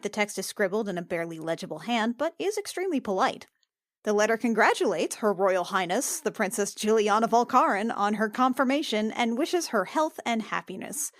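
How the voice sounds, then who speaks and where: Woman player, mid-pitched and dry-sounding. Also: dry recording. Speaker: tabletop game player. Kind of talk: casual game talk and read-aloud.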